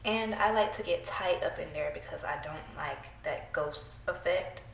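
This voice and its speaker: Congested young woman doing a makeup tutorial, gravelly voice, chatty with her viewers, echoey room, faint hiss. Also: fairly low pitch, measured pace, fairly bright tone. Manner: chatty, playful, talks to viewers while working. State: congested from a cold. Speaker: beauty vlogger. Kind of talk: casual makeup tutorial chat. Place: small room at home, indoors.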